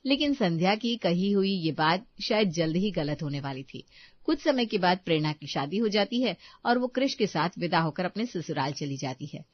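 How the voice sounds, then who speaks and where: A woman's voice: lowish voice, animated, normal speed, dry recording, dull audio. A woman storyteller reading scripted narration of a moral story.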